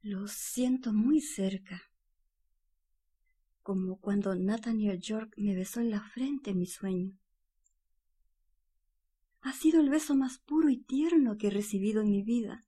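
An actress playing a gentle, anxious, dreamy wife in a radio drama. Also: female voice, mid-range voice, unhurried, pauses often, slightly rough.

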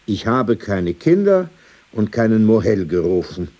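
A man's voice: on the low side, big pitch swings, measured pace, dark tone. An actor playing a world-weary, prickly old man in a radio drama, addressing someone formally with probing questions and sudden turns to reminiscence. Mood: provocative, sardonic. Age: older.

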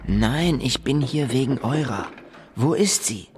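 A man's voice: mid-range voice, lively intonation, unhurried, lightly acted. A man playing an earnest, questioning lover searching for his girlfriend in a German radio play. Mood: earnest, insistent.

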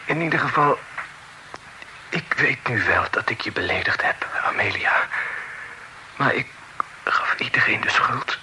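An actor playing a proud, mortally wounded gentleman in a radio drama, confessing remorsefully in weak, halting, broken phrases. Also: medium pitch, heavily acted, poor audio. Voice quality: breathy, halting, weakened. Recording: radio recording with faint noise.

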